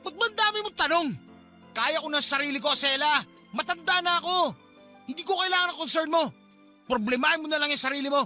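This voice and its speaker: Irritable young dad in a radio drama, curt and dismissive, talks fast, bright voice. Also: male voice, very high voice, faint music behind, heavily acted. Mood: irritated, dismissive. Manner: curt, impatient. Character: irritable, dismissive young father walking out on his partner. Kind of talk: Filipino radio drama acting.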